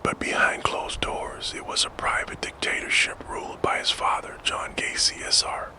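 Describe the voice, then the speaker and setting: Guy doing asmr bedtime narration, all whispered, soft and soothing, reading from a script. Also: normal speed. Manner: hushed, gentle, soothing delivery. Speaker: host and narrator of a true crime sleep channel.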